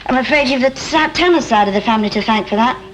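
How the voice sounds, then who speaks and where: An actress playing a brassy, weary, sharp-tongued middle-aged working-class mother with a Lancashire accent in a 1960 television soap. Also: a little high, fast, slight background noise, natural voice, low-quality recording. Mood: wry, scolding. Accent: Northern English, Lancashire. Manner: blunt, nagging, wry. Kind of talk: 1960s British television soap acting. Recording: old 1960 television soundtrack.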